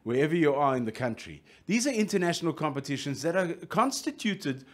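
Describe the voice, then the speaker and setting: A middle-aged man with a South African accent hosting a radio interview, jokey and lively, often restarting his sentences. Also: on the high side, brisk. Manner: jokey, lively, restarts a lot, plugs the station. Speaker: radio host.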